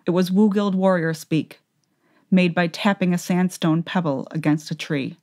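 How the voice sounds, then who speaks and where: A woman's voice: on the low side, steady tone, normal speed, darkish tone. A woman narrator reading a fantasy tale in a scripted, dramatic audiobook style.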